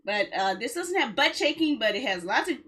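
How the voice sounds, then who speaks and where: Lady streamer just chatting away, kinda high bright voice, playful n rambly. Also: very animated, brisk, a little hiss or hum. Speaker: game streamer. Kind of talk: casual livestream chat.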